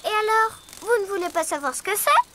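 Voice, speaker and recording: An actor voicing a tiny, excitable, playful child friend in a preschool cartoon, with an eager, exclaiming delivery. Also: very high voice, a little fast, very noisy. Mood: excited. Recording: loud children's music behind the voice.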